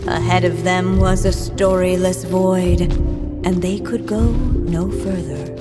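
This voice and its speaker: Reverberant, solemn storybook narrator. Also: female voice, lowish voice, steady tone, slow. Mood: grave. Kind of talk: scripted fairy-tale narration. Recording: long reverb, music behind.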